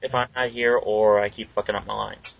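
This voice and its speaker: A man who voices fan dubs, chatting casually, halting with fillers and swearing. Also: on the low side, flat delivery, creaky. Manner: hesitant, sweary. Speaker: fan dub voice actor. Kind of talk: casual podcast chat. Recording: dry, dull lo-fi recording.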